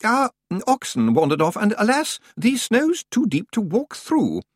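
A man's voice: high voice, huge pitch swings, unhurried, dry recording, studio quality, voice pushed up, full character voice. A man voicing a nervous, fussy senior librarian who stammers and hesitates. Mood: flustered.

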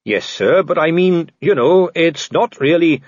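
A man's voice: fairly high pitch, animated, unhurried, darkish tone, no room sound, lo-fi sound, pitched above the performer's own voice. A man voicing a cheerful, earnest young watch captain who is polite and deferential, in an audiobook. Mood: flustered, hesitant. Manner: chipper, deferential, matter-of-fact. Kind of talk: audiobook character acting.

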